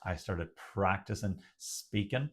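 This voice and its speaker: A young Irish man, a doctor who hosts his own channel, talking slowly and openly with a stutter and deliberate pauses. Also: low-pitched. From Ireland. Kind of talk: personal talk to camera.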